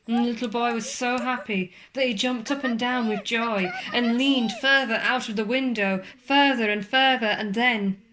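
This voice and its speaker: A woman narrates a ghost story in a theatrical, suspenseful manner, with deliberate pauses and formal, old-fashioned wording. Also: fairly high pitch, steady tone, a bit bright. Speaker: ghost walk guide and storyteller. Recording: clear background ambience under the voice. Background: spooky horror ambience sounds.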